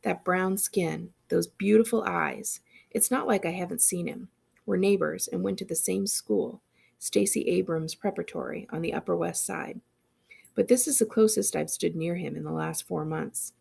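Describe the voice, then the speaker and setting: A woman narrates a story aloud with expression. Her voice is very creaky and husky, sitting slightly low. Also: moderate pace, a little mellow. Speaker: librarian reading aloud.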